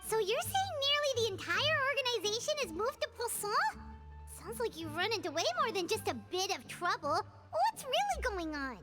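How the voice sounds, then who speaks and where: A woman voicing a small, excitable, nosy fairy companion in a video game, exclaiming in childlike fashion. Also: very high-pitched, normal speed. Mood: curious, chirpy. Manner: speaks of self in third person, exclaiming. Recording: music behind the voice.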